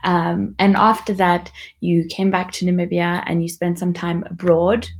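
Woman interviewer with a lowish, flat voice, short prompts and brief summaries. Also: measured pace. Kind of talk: interview questions.

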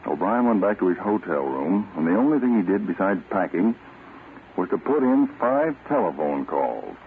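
An actor playing a stern, self-assured American government agent in a 1940s radio drama, speaking with deliberate authority. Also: male voice, low voice, sing-song. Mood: grave, explaining. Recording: muffled, tinny, noisy old radio recording.

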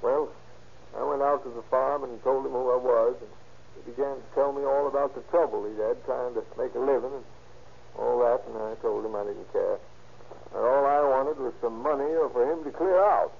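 An actor playing an irritable, evasive murderer under questioning in an old radio crime drama, sullen and defensive. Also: male voice, medium pitch, normal speed, creaky, natural voice. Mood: grudging confession. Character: irritable evasive murder suspect, grudgingly confessing killer. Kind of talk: 1940s-50s radio crime drama acting. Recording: tinny, noisy old-time radio recording.

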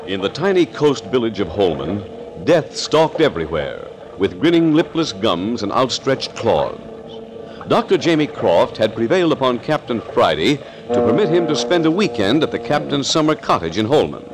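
A man's voice: mid-range voice, sing-song, normal speed. A man narrating a 1940s radio thriller in a dramatic, ominous, declamatory style.

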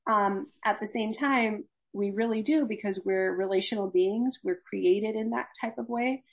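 A woman's voice: medium pitch, moderate pace, low-quality recording. A young woman from Los Angeles, a gerontology faculty member, explaining health principles earnestly in a conversational interview. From Los Angeles, USA. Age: young adult.

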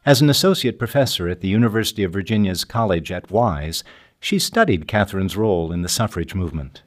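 A man giving scripted documentary narration in a measured, articulate way. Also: deep voice, expressive. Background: music.

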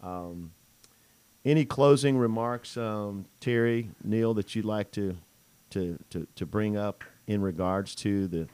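Male talk radio host, low-pitched, loose and rambling, close dry mic. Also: takes it slowly, darkish tone, clear, resonant tone, no room sound. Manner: rambling, joking, many fillers and restarts. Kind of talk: casual interview chat.

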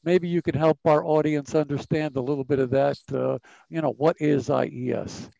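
Gravelly, hesitant male podcast host. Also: a little high, steady tone, moderate pace, dark tone, dry recording, highs cut off. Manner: hesitant, many fillers. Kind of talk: podcast interview.

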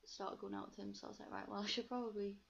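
A young woman with a soft Geordie accent mixed with posh English, chatting candidly and informally as a podcast guest, on slightly dull audio. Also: medium pitch, flat delivery, quick pace, vocal fry, husky. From North East England. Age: young adult. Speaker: podcast guest, ballet dancer. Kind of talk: casual chat.

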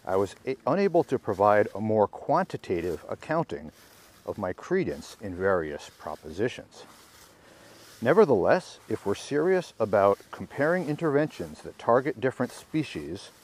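A man reading a research article aloud in a measured, explanatory way, with informal asides and the odd self-correction. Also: fairly low pitch, darkish tone. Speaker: podcast reader and commentator.

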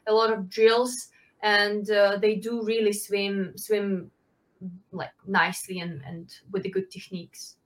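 A woman, answering interview questions in halting, full of fillers. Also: medium pitch, flat delivery. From Ukraine. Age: 20s. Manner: halting, with frequent fillers and restarts, non-native phrasing. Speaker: guest, Olympic swimmer.

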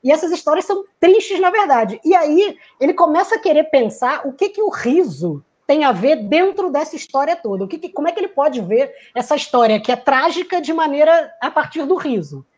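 A woman with a high voice gives a reflective talk, speaking like someone from the theater. She muses aloud and searches for words. When she quotes people, she briefly acts out their lines. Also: animated, a little fast, few pauses, a little mellow. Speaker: theater performer giving a talk.

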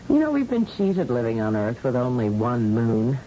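A woman's voice: a bass voice, huge pitch swings, a little slow, warm tone, full character voice. An actress playing a curious, thoughtful woman scientist in an old-time radio science fiction drama. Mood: wondering. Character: curious, thoughtful female scientist, ageless space explorer. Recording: dull, noisy old radio recording.

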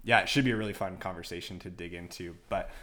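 A man's voice: deep voice, fast talker, fairly bright tone. An American man hosting a podcast in a casual, conversational way. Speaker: podcast host.

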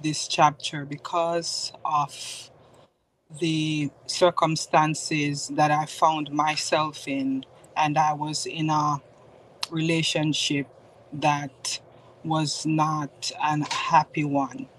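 Jamaican woman, middle-aged, earnest guest testimony, unhurried delivery, faint background hiss. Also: low-pitched, flat delivery, poor audio. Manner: measured, earnest, faith-centred. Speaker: guest author. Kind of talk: personal testimony on a podcast.

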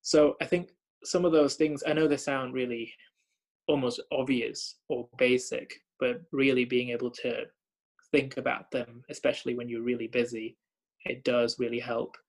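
Young doctor from Thailand, low flat gravelly voice, halting reflective talk. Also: male voice, poor audio. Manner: thoughtful, many fillers and repeated starts. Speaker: hospital doctor. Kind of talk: reflective conversation.

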